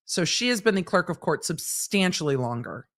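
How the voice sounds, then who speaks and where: A woman's voice: on the low side, sing-song, moderate pace, slight background noise. A woman hosting a live legal commentary stream, chatty and explaining things plainly. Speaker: host commenting on a court feed. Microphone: in-ear monitors, streaming mic.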